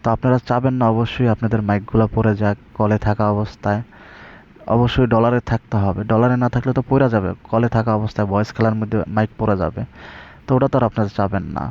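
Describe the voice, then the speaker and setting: Man, Bangladeshi accent, flat intonation, casual sales tutorial, colloquial check-ins, slightly muffled lo-fi recording. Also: on the low side, fast talker. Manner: colloquial, repeatedly checks in with listeners. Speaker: online seller and video presenter. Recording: slightly dull, lo-fi audio.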